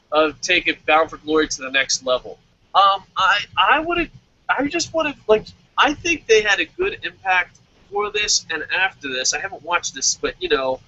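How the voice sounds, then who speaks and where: Host type: excitable man, casual talk, higher bright voice, muffled audio. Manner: excitable, jumps into loud animated quotes, stammers at times. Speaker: wrestling review host. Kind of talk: casual podcast chat. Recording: dull lo-fi audio.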